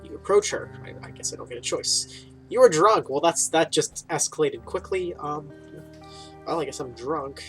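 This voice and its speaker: A man's voice: on the high side, animated, moderate pace, fairly bright tone. A man giving casual, rambling playthrough commentary on a video game, reading dialogue aloud with wry, informal asides. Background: game music.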